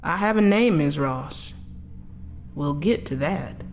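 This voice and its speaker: A woman's voice: low voice, slow pace, soft background music. Woman playing a sardonic, probing coroner. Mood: dryly ironic. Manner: calm, dry, ironic questioning. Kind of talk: audio drama acting.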